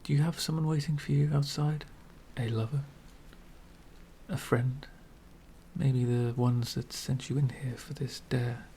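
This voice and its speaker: A man playing an elegant, centuries-old vampire gentleman, speaking softly and half whispering in a refined, wistful ASMR roleplay. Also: medium pitch, steady tone, slight background noise, lightly acted. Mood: gently probing. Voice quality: soft, breathy whispering. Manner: refined, wistful, slow and deliberate.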